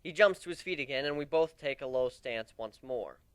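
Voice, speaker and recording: A man's voice: medium pitch, animated, moderate pace, fairly bright tone, clear, resonant tone, no echo. A man narrating a first-person fantasy story as an amateur dramatic reading.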